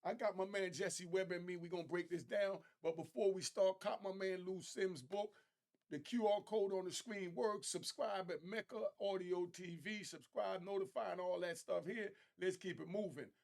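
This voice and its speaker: A man with a slightly high voice and noticeable vocal fry hosts a casual commentary show. He speaks in conversational street slang and gives opinionated takes. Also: steady tone, moderate pace, darkish tone. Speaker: host of an online commentary show.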